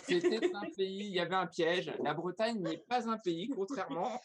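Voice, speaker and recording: A man's voice: sits high, normal speed, a little hiss or hum. A man hosting a live online talk show over a video call, running a playful food quiz with a teasing manner.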